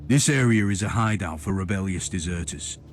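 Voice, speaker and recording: An actor playing a stern, zealous imperial inquisitor in a video game, speaking in a formal, righteous, declamatory way. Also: male voice, low-pitched, fairly quick. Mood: stern, measured. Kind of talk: video game cutscene dialogue. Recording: loud music behind the voice. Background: loud game music.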